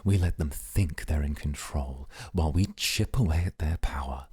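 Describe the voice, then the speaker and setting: A man playing a composed, calculating, coolly amused mafia boss, speaking in a measured, formal way in an ASMR roleplay. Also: extremely low pitch, warm tone, slightly rough, no echo, heavily acted. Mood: calculating, calm.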